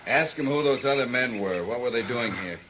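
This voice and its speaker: A man's voice: mid-range voice, expressive, fairly quick, a bit bright, close to an everyday voice. Male stage driver, acted: sarcastic, brusque, mid-range voice, muffled 1950s radio western recording. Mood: grim, urgent. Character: gruff, short-tempered male stagecoach driver. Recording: muffled old radio recording, faint noise.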